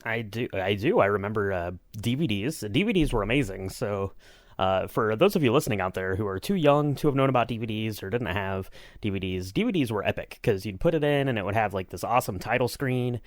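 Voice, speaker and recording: Enthusiastic, lowish-voiced man chatting on a podcast. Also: expressive, brisk, no room sound, studio quality. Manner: enthusiastic, rambling, conversational. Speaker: podcast co-host. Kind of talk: casual podcast chat.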